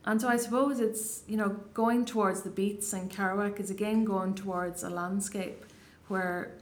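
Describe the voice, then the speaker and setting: A woman's voice: medium pitch, steady tone, normal speed. A woman in her thirties with a Donegal Irish accent, answering interview questions in a thoughtful, hesitant way with many restarts. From Ireland, Donegal Gaeltacht. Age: 30s. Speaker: poet, interview guest.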